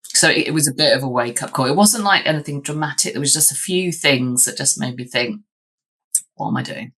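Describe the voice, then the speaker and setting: A woman's voice: very deep voice, animated, fairly quick, fairly bright tone. A woman, telling her story as an interview guest, chatty with frequent ums and restarts. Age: 50s. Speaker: guest, lawyer. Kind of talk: candid interview answers.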